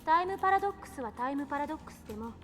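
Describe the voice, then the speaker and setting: A woman's voice: high-pitched, animated, very fast, soft background music. A woman voicing a composed, intellectual eighteen-year-old female scientist in a visual novel game, lecturing precisely and assertively.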